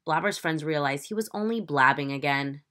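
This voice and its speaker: A woman teacher reading a children's picture book aloud in an expressive, emphatic, instructive storytelling manner. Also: sits low, normal speed.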